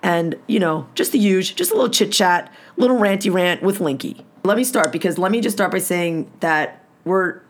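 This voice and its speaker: A hoarse woman hosting a podcast, delivering a blunt, profane, heated rant. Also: lowish voice, expressive, normal speed, hardly any pauses. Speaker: podcast host.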